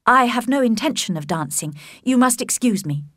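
A woman voicing a spirited young gentlewoman in a storybook, reasoned and politely firm. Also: a little high, lively intonation, moderate pace, no room sound, clean studio sound, voice pushed up. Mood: flustered, firm.

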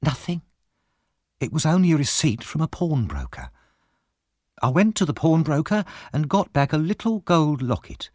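A calm, bold woman who shows no fear, played by a man reading aloud in a raised voice. Her firm lines swing lively in pitch, in a dry close sound. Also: moderate pace, dry recording.

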